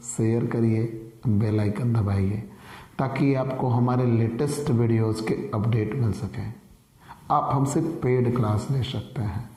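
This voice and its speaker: A man teaches an online commerce lesson through a sore, hoarse throat. His voice is mellow and warm, and he talks earnestly to his viewers as friends. The sound carries a roomy echo. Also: medium pitch. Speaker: commerce tutor. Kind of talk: online lecture.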